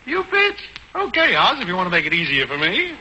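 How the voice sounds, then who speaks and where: High, swooping wisecracking radio neighbor. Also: male voice, huge pitch swings, brisk, bright, forceful tone, heavily acted. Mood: mocking. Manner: mocking, sarcastic. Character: wisecracking, needling neighbor man, cheerfully sarcastic. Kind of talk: 1940s radio situation comedy acting. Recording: noisy 1940s radio broadcast.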